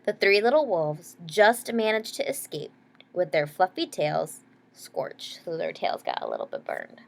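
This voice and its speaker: A woman reading a children's picture book aloud expressively, pausing to explain words and ask young listeners questions. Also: on the low side, huge pitch swings, vocal fry. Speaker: read-aloud storyteller. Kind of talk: expressive children's story narration.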